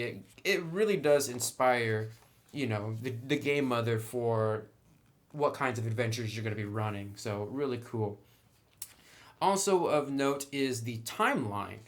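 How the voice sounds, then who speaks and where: A man's voice: mid-range voice, a bit bright. A man giving an informal, chatty review commentary with wry asides, as a tabletop game book reviewer. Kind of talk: casual scripted-light review commentary.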